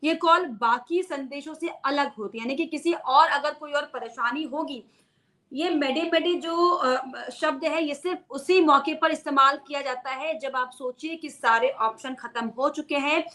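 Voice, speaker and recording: A woman's voice: high voice, a little slow, bright, forceful tone. A woman news presenter giving an unscripted explainer to viewers, repeating key phrases for emphasis.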